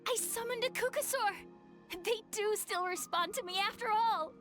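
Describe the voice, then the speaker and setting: An excitable young flying trainee in a video game. She sounds extremely high and girlish, full of earnest eagerness, with quiet music underneath. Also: normal speed. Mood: excited. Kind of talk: video game character acting.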